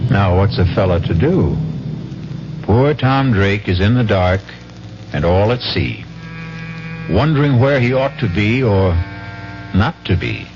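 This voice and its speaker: Deep voiced guy hosting old radio mystery, very slow n wry, theatrical, music behind. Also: lively intonation. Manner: wry, wordplay-laden. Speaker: radio mystery show host. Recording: dull 1970s radio broadcast with music.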